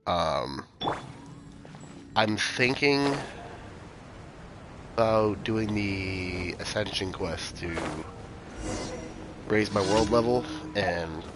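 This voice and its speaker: A male streamer with a stuffy nose, thinking aloud in relaxed gameplay commentary, with game music behind him. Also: on the low side, background noise.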